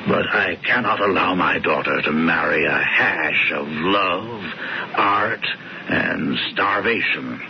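A man's voice: deep voice, slow, gravelly, dull audio, noisy background, heavily acted. An actor playing a stern, businesslike middle-aged father, blunt and pompous, in a 1970s radio drama. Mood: stern, dismissive. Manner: blunt, pompous, clipped bursts. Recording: band-limited old radio broadcast, music behind.

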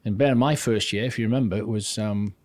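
A man's voice: on the low side, fast, a little mellow, no room sound. An older man from north-east England, a podcast guest telling long, rambling stories about his coaching days in a chatty interview style. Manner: chatty, rambling, restarts sentences, acts out quotes. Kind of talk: casual anecdotal storytelling.

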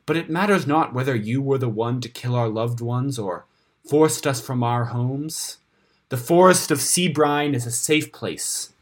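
A solemn bear who leads a clan in a fantasy storybook , voiced by a man. The speech is formal and declamatory, husky and measured, weighted with grief. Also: medium pitch, a bit bright. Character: solemn, grieving bear clan leader seeking justice.

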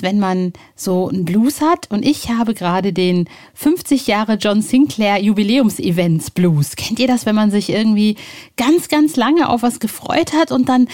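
A woman's voice: a little high, darkish tone. Chatty, slangy woman podcast host. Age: 40s. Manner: colloquial, exclamatory, slangy. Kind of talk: casual, chatty podcast hosting.

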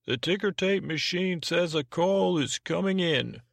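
A man's voice: sits high, measured pace, vocal fry, a bit bright, no room sound, clean studio sound, voice pushed up, full character voice. A man voicing an eager, obliging announcer and switchboard operator sidekick in a comic bedtime podcast, with clipped, dutiful delivery.

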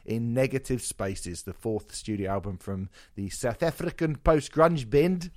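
Slightly hoarse, sweary male podcast host. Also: mid-range voice, expressive, measured pace, a little mellow, dry recording, studio quality. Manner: sweary, self-deprecating, jokey. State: slightly hoarse, sore throat from singing. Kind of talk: casual music podcast chat.

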